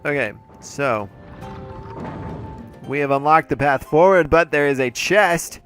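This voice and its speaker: A man's voice: a little high, a little slow, fairly bright tone, soft background music. A man giving playful, chatty commentary on a video game he is playing, with a slightly congested voice. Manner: playful, chatty, jokey asides. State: congested, throat a little off. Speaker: let's play host. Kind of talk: casual gameplay commentary.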